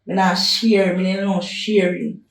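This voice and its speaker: A woman's voice: on the low side, steady tone, takes it slowly, gravelly. A woman playing a bossy, possessive young woman who scolds and lays down the law. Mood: warning. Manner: scolding, domineering. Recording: roomy, distant from the mic.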